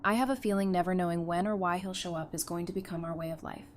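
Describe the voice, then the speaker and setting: A woman's voice: lowish voice, steady tone, fast talker, a little hiss or hum, close to an everyday voice. A woman playing a strong-willed, bitter survivor with a firm, sardonic manner in an audio drama. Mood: grim.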